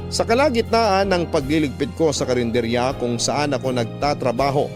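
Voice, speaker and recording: Man playing a humble filipino guy telling his life story, medium pitch, measured n earnest, radio drama music behind. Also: close to an everyday voice. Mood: reflective, wistful. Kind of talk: scripted first-person radio drama narration.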